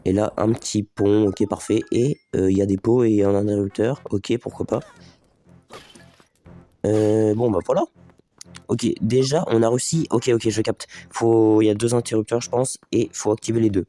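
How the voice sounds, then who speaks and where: A man's voice: on the low side, fairly even intonation, moderate pace, warm tone. A man with a slight head cold doing casual let's play commentary, thinking aloud and talking straight to his viewers. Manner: chatty, thinks aloud, talks to viewers as les gars. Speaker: gaming video host.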